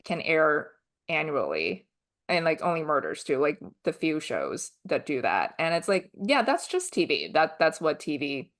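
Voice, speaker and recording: A woman co-hosting a podcast in loose, candid chat. Her voice sits low for a woman, and she talks bluntly, swears offhandedly and scatters "like" through her sentences. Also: wildly swooping pitch, measured pace. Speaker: podcast co-host.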